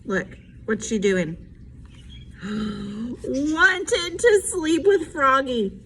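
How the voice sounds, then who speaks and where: A woman's voice: high-pitched, expressive, extremely slow, a bit bright. A woman teacher reading a picture book aloud to children, animatedly, stopping to explain things simply. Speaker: special education teacher. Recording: faint background noise.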